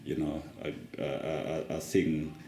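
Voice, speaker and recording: Male interviewer, low pitch, mellow tone, casual reflective chat, roomy echo. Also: level tone, measured pace, vocal fry. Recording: echoey room, faint music.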